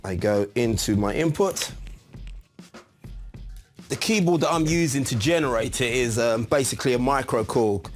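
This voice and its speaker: A man's voice: medium pitch, normal speed, pauses often, faint music behind. A man giving an informal, chatty tutorial as a musician, explaining a piece of gear step by step. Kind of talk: casual instructional demonstration.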